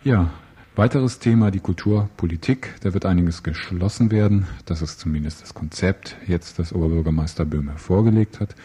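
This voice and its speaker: A man's voice: deep voice, moderate pace, dark tone, slightly rough. A man presenting an informal local news interview, phrasing his questions loosely and with some hesitation.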